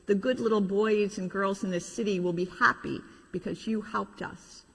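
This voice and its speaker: Woman, animated children's storyteller, informal asides, husky voice, long reverb. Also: medium pitch, normal speed. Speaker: pastor reading a children's story. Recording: reverberant hall.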